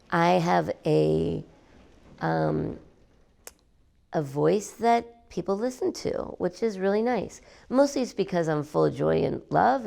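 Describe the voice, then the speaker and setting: A woman artist talking about her work in an interview, animated and emphatic, repeating words for effect. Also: fairly low pitch, creaky. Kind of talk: gallery interview.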